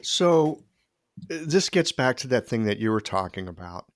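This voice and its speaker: A man's voice: medium pitch, moderate pace. Easygoing man hosting a podcast interview. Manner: relaxed, asks follow-up questions, jokes lightly. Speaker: podcast host. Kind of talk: casual interview conversation.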